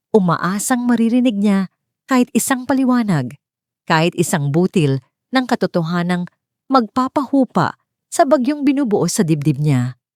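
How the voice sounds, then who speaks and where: A woman's voice: low-pitched, a little mellow, no room sound, studio quality. A woman narrating a scripted dramatic story as its storyteller.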